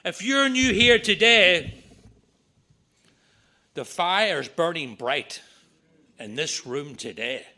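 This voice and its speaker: A middle-aged man with a Northern Irish accent, giving an earnest talk at a recovery meeting with quick asides. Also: on the high side, lively intonation, sharp tone. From Northern Ireland. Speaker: AA speaker. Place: meeting room.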